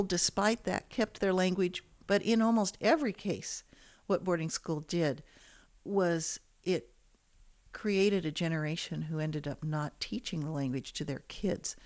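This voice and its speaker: Woman academic, lower pitch, dry close mic, articulate. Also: a little slow, darkish tone, dry recording. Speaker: linguistics professor, interviewee. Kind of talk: explanatory interview.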